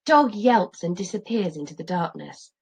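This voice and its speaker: A middle-aged woman reads a children's story as a teacher would, with very expressive, varied intonation. Her voice has a slightly husky edge and a medium pitch. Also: normal speed. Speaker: teacher reading aloud.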